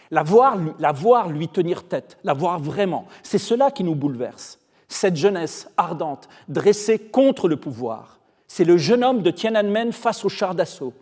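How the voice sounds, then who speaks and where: A man with Arabic as his first language gives a formal lecture in a measured, emphatic way, slowing down on key phrases. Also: high-pitched, darkish tone. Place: large lecture hall. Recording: long hall reverb.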